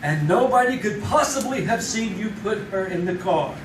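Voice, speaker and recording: A man's voice: high-pitched, expressive, normal speed, far from the mic, noisy background. Man acting nervous crooked husband, radio play melodrama, echoey stage, recorded from afar. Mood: guarded, smug. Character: anxious scheming husband, embezzler balking at murder. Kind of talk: old-time radio drama acting. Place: live theater stage.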